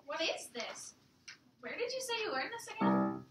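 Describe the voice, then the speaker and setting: A woman's voice: very high voice, sing-song, moderate pace. A woman playing an eager, trusting female neighbor in a gushing, theatrical way for a staged musical reading. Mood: amazed, puzzled. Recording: echoey distant stage recording, loud piano.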